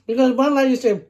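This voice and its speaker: Woman, middle-aged, mukbang host, mellow tone, giggly, talks with her mouth full. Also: sits high. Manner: chatty, giggly, talks while chewing. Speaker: mukbang vlogger, mother. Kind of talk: casual chat while eating.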